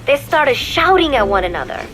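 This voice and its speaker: A woman's voice: high-pitched, sing-song, normal speed, fairly bright tone. An actress playing a prim, secretive young woman in a video game, narrating an audio diary in a composed, precise, faintly disdainful way. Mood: measured, detached.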